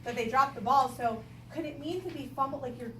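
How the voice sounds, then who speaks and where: A woman's voice: sits high, fairly quick. A woman teacher reading aloud to young children, stopping to explain and put questions to them in an instructional way. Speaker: elementary school teacher. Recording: echoey room, distant mic, noisy background.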